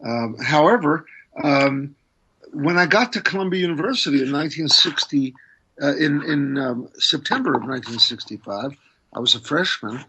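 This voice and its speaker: Husky man in his sixties, dull line. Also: a little high, expressive, moderate pace, sharp tone. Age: 60s. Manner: thoughtful, hesitant, many "um"s. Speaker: guest. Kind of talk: interview, recounting memories.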